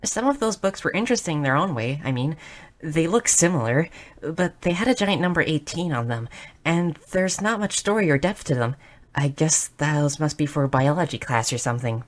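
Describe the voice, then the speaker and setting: A woman voicing a bookish, excitable young goblin girl in an audio roleplay, curious, shy and slightly stammering. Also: deep voice, normal speed. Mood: earnest, fascinated. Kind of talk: scripted audio roleplay addressed to the listener.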